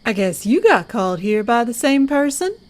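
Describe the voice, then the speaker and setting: A woman's voice: fairly high pitch, very animated, moderate pace. A woman playing a sassy, challenging band leader and hotel owner in an audio drama. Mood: sassy, annoyed.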